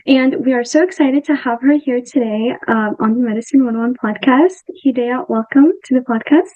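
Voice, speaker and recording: A woman's voice: high-pitched, moderate pace, muffled recording. A young woman hosting a podcast, reading a guest introduction and asking questions in an enthusiastic, friendly way. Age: young adult. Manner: warm, enthusiastic, with um fillers. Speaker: podcast host.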